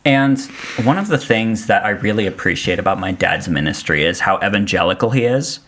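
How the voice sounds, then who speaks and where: Low-pitched man from Austria, native German speaker. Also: expressive, fairly quick, fairly bright tone. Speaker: podcast guest. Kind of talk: casual conversation.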